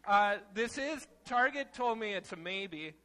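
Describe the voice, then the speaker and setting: A young man giving a playful, casual children's sermon in an animated, informal way, exclaiming as he goes. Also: sits high, measured pace, slight background noise. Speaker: children's message leader at church. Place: church sanctuary, seated on chancel steps among children.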